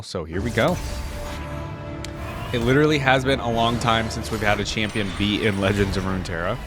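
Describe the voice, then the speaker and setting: A man's voice: lowish voice, a bit bright. A man hosting a reaction video, giving casual, informal and exclamatory commentary.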